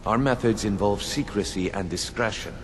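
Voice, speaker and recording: A man acts out a roguish recruiter for a guild of thieves in a fantasy game. His voice sits fairly low. He talks down to people with wry, smooth condescension, over a light bed of ambient noise. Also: moderate pace, lightly acted. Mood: scolding. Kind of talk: fantasy video game dialogue.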